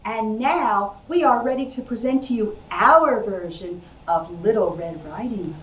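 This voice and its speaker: A woman's voice: mid-range voice, measured pace. A woman giving a children's library presentation, explaining things in simple words addressed to young listeners. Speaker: library outreach puppeteer and presenter. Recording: roomy echo, clear background noise.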